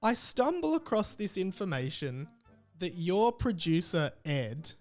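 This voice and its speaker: A man's voice: high-pitched, very animated, a little slow, darkish tone, soft background music. A man with an Australian accent hosting a podcast in casual, informal chat with a mock-aggrieved way of talking. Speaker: podcast host.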